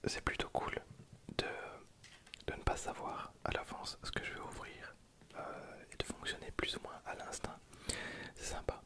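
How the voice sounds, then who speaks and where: An ASMR host speaking in a soft, breathy whisper, chatting casually and informally to viewers in an unscripted, rambling way. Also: normal speed. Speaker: host of an ASMR card-opening video channel.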